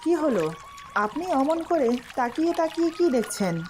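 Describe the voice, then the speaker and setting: A woman voicing a shy, loving young newlywed wife in a romantic story, coy and gently chiding. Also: high-pitched, sing-song, moderate pace, soft background music, pitched above the performer's own voice.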